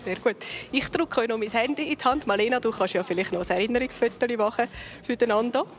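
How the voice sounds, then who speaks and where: A woman's voice: on the high side, fast talker. A woman with a Swiss German accent hosting a children's show in a chatty interviewing style. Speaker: host of a children's surprise show. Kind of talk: chatty presenting and interviewing for kids.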